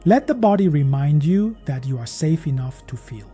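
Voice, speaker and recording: A man narrating a guided meditation in a gentle, measured, soothing manner, as a podcast host leading listeners. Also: a little high, animated. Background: meditation music.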